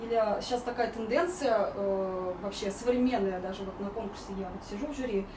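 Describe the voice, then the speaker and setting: A woman's voice: mid-range voice, a little fast, noisy background. A woman giving an informal singing lesson as a vocal coach, explaining and demonstrating vowel sounds. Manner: explanatory, demonstrating vowels and sung warm-ups. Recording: roomy echo, distant mic, music behind.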